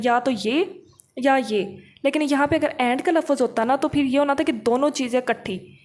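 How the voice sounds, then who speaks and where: High-pitched woman teaching law. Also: darkish tone, a little hiss or hum. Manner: didactic, repeats points, frequent check-in tags; code-switches with English legal terms. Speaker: law lecturer. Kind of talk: informal explanatory lesson, reading statute and explaining it.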